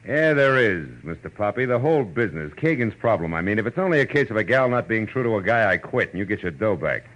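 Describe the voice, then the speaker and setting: An actor playing a wry, wisecracking private eye with clipped, slangy, sardonic delivery in a 1940s radio detective drama. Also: male voice, on the low side, sing-song, fast talker, a bit bright. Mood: brisk, probing. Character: hard-boiled male private eye, wry, wisecracking, impatient narrator. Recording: muffled old radio recording with music.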